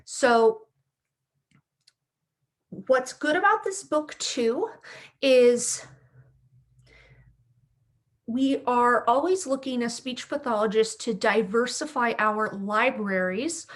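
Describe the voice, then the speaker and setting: A woman hosting as a speech therapist, speaking in a clear educational presenting style. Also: a little high. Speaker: speech-language pathologist, host. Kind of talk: children's read-aloud and educational explanation.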